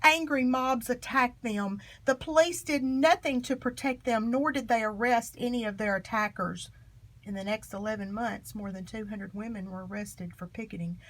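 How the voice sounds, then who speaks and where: A woman reading a children's history book aloud in an expressive, emphatic storytelling manner. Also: fairly high pitch, normal speed, poor audio. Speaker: storybook reader.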